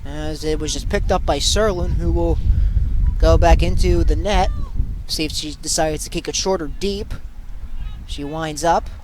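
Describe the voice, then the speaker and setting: A young man doing excitable live play-by-play sports commentary as a student sportscaster. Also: on the high side, a little slow, background noise. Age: young adult. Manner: excitable, bursting into shouts on big plays.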